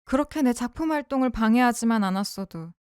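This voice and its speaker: A woman playing a soft-spoken calm psychopath. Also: fairly high pitch, fast talker, clean tone, no room sound, studio quality, natural voice. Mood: eerily calm. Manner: soft, intimate, coolly mocking. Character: calm psychopathic young woman confessing to listener. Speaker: voice actor. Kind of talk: ASMR audio drama monologue.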